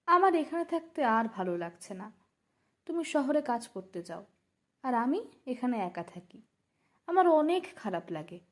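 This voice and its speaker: A willful young daughter-in-law. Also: female voice, high voice, big pitch swings, a little slow, heavily acted. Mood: complaining. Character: young village daughter-in-law, restless and self-willed. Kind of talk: cartoon dubbing.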